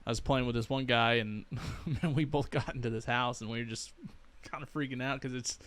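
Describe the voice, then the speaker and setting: A man's voice: mid-range voice, fairly even intonation, brisk, fairly bright tone. A young man co-hosting a casual podcast, laid back and swearing freely as he tells a story. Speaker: podcast co-host. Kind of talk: casual chat.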